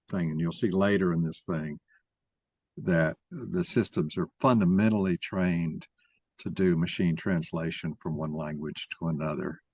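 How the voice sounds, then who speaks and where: Man, very low voice, heavy vocal fry, didactic presenter, explanatory talk. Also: no echo. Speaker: presenter of an argument. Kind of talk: explanatory talk with questions from participants.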